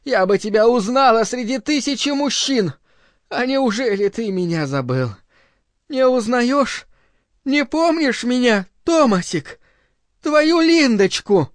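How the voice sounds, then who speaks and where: A man's voice: very high-pitched, animated, slow pace, no echo, clean studio sound, voice pushed up, heavily acted. A man voicing an aging, desperate woman with a trembling, pleading, clinging manner, in an audiobook. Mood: coy, hopeful. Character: aging, desperate, tearful woman pleading with her former lover.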